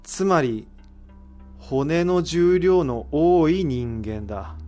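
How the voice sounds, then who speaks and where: A man's voice: a little high, expressive, extremely slow, warm tone, soft background music. A man acting in an audio drama as an analytical figure who reasons out a mystery in explanatory speech. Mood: grave, revealing. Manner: explanatory, rhetorical questioning. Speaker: voice actor in an amateur audio drama. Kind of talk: dramatic dialogue, explaining deductions.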